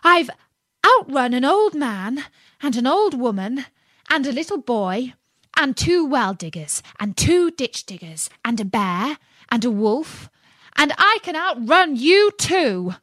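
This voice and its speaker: Lady doing a cheeky little gingerbread man, high n loud, sing-song bragging, storybook style. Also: very animated, unhurried, no echo. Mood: boastful, defiant. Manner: boastful sing-song recitation.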